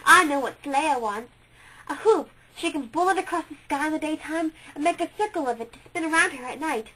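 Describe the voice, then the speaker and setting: A woman voicing Maya, an eager, delighted young star girl who talks in an excited, breathless way. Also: sits high, a little fast, poor audio.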